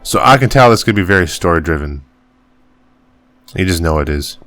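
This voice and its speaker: Deep-voiced man narrating a game. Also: animated, fairly bright tone, no room sound. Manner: halting reading, stumbles over words and laughs it off. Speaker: gaming channel host. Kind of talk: reading game text aloud as narration.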